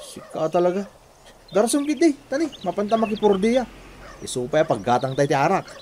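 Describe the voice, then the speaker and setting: Excitable, animated village buddy. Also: male voice, sits high, fairly quick, a little hiss or hum. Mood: joking. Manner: excitable, stammering repetitions. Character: jittery, joking male village buddy out woodcutting. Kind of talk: Ilocano radio comedy drama.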